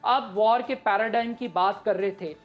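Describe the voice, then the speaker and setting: A woman's voice: mid-range voice, moderate pace, lo-fi sound, soft background music. A woman with a Pakistani accent explaining current affairs emphatically as a studio analyst. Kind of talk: live political commentary.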